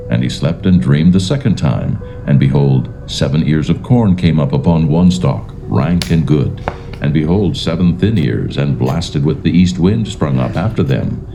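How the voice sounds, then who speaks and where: A man narrating dramatised scripture in a solemn, measured storytelling manner. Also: a bass voice, creaky. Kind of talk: dramatised scripture narration in King James English.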